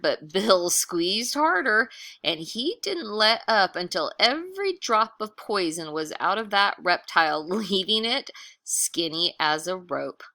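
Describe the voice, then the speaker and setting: A woman who sounds like a teacher, reading a children's story expressively and pausing for chatty explanations. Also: fairly low pitch. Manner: expressive, encouraging, pauses to explain words and pictures. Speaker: teacher reading aloud to pupils. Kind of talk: animated children's read-aloud with chatty explanatory asides.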